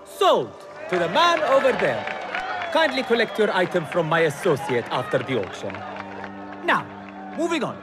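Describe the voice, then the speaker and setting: Showy, high-pitched auctioneer. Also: male voice, huge pitch swings, moderate pace. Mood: gracious, grandiose. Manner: showy, grandiose, coaxing. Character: flamboyant male auctioneer working a crowd of bidders. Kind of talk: theatrical auction patter. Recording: music and crowd murmur behind.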